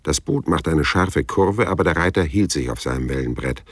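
A man's voice: rumbling low, lively intonation, normal speed, breathy edge, dry recording, full character voice. An actor playing a laconic, probing private detective who narrates his case tersely and matter-of-factly in a German radio crime drama. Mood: detached narrating. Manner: dry, matter-of-fact, terse.

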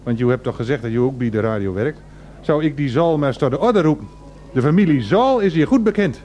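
An actor playing a stubborn, grumbling local man with a Groningen Dutch accent in a radio drama, blunt, gruff and repetitive. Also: mid-range voice, lively intonation, fairly quick, darkish tone, slight background noise, natural voice. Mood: indignant. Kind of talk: radio drama street interview.

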